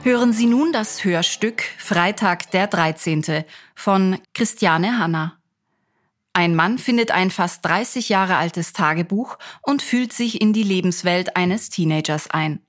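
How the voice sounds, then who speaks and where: Slow-paced female radio play announcer. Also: lowish voice. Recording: music behind the voice.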